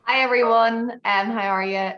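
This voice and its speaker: A woman's voice: on the high side, steady tone, moderate pace, dull audio. An Irish woman, a brand ambassador, presenting a tasting masterclass over a video call in a chatty, light-hearted way. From Ireland.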